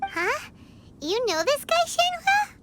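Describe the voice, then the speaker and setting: A woman's voice: extremely high pitch, sing-song, slow pace, exaggerated voice. A woman voicing a tiny, childlike fairy companion in a video game, perky, blunt and exclamatory. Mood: puzzled. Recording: loud music behind the voice. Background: loud game music.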